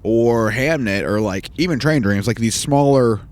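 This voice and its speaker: A man's voice: medium pitch, normal speed, a little mellow. A young man co-hosting a film podcast, casual and slangy in conversation. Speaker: podcast co-host. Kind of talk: casual film review chat.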